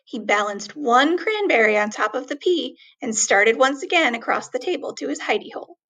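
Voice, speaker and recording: A woman reading a children's story aloud expressively, with deliberate, dramatic emphasis on words. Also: sits high, lively intonation, a bit bright.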